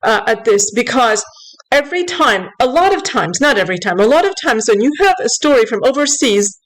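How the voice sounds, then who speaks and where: A woman's voice: a little high, big pitch swings, brisk, rough recording. A woman giving unscripted news commentary in an upset, insistent, corrective way, sometimes switching between two languages as she reads aloud. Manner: switches between English and French while reading aloud. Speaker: online commentator.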